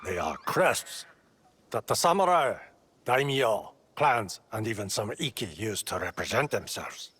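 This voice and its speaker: A man playing a courteous, scholarly former warrior turned scribe in a feudal Japan game, formal, earnest and husky. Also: medium pitch, fairly bright tone, heavily acted.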